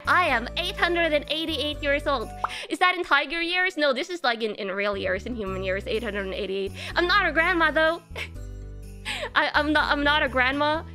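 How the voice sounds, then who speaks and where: A woman's voice: high-pitched, moderate pace, pushed and bright. A woman whose first language is Norwegian, giving a giggly, rambling stream self-introduction. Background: faint music.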